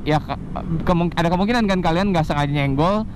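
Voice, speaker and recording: A man's voice: a little high, fast. A young man with a Jakarta accent giving a casual, unscripted gear review, informal and mixing in English phrases. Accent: Jakarta Indonesian. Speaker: vlogger reviewing motorcycle gear. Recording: clear outdoor traffic and vehicle noise.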